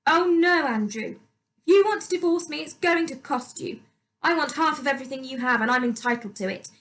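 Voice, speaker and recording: A woman's voice: lively intonation, fairly quick, a bit bright. A woman voicing a spiteful, petulant stepmother with a whiny voice in a dramatic storybook reading. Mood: shrill, accusing. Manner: petulant, shrill outbursts.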